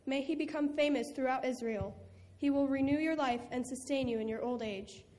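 A high female voice rings out in long reverb. It is a woman acting an ancient Bethlehem villager, reciting a blessing in declamatory praise for a scripture drama. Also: fairly quick, a little mellow, close to an everyday voice. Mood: joyful praise. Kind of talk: church dramatic reading of scripture.

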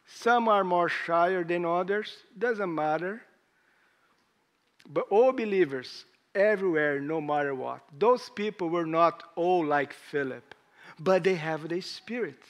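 A hoarse man preaching a sermon in English, speaking in halting phrases with rising emphasis. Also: high-pitched. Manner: slow, halting delivery with pauses and rising emphasis; non-native English with grammar slips.

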